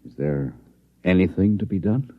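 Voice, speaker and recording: A very deep-voiced radio-play president. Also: male voice, expressive, phone-quality sound. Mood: stunned. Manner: authoritative, deliberate, hesitant fillers. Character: weighty, decisive president of a future nation. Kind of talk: 1950s radio drama acting. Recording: thin band-limited vintage radio recording.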